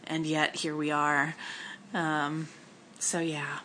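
A woman in casual conversation, animated and self-deprecating, laughing often as she talks. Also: low voice, slow, slight background noise.

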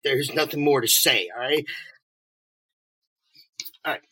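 A man's voice: medium pitch, measured pace, bright, forceful tone, husky, poor audio. A man playing a desperate, bitter homeless drug addict in an audio drama, agitated and stammering, swearing and sarcastic. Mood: bitter, mocking. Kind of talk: naturalistic two-person audio drama acting.

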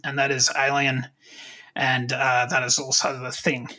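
A man in his late thirties with a bright, forward voice hosts a casual podcast. He talks in a conversational way, often stopping and restarting as he looks for the right word. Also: mid-range voice, monotone, unhurried. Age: late 30s. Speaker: podcast host. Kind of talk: casual conversation about films and comics.

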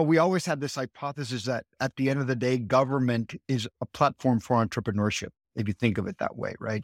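A man's voice: mid-range voice, dry recording, studio quality. A middle-aged man from Guatemala with an American accent, a podcast guest speaking earnestly and often restarting his sentences. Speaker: guest, startup city co-founder. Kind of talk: podcast interview.

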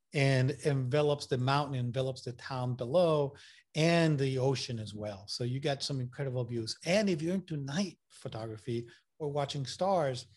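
A man's voice: mid-range voice, darkish tone. A man with an accent that mixes Puerto Rican and Massachusetts sounds, talking as an interview guest. He is enthusiastic and loose, piling on fillers and restarting his sentences as he goes. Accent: mixed Puerto Rican and Massachusetts. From Puerto Rico. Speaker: photographer guest. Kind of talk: casual interview talk.

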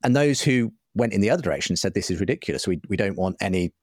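A middle-aged man with a low voice speaks as an interview guest. He explains things reflectively and earnestly, in articulate, discursive sentences, and the sound is dry and close. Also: expressive, quick pace, a little mellow, dry recording. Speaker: interview guest, writer and broadcaster.

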